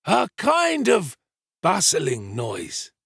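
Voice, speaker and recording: A man voicing a pompous, self-important male servant in a storybook reading, with drawn-out, emphatic delivery. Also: fairly high pitch, wildly swooping pitch, vocal fry, a bit bright, breathy edge, dry recording, studio quality, voice pushed up, full character voice. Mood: self-important, suspenseful.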